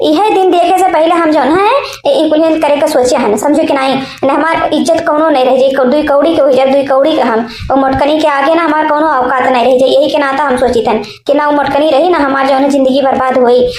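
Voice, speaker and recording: Domineering cartoon co-wife , female performer, high voice, eastern Uttar Pradesh accent, bossy and threatening, echoey sound. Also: close to an everyday voice. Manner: bossy, scolding, repeats commands. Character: scheming, domineering younger co-wife, bullying and threatening. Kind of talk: village family comedy cartoon dub.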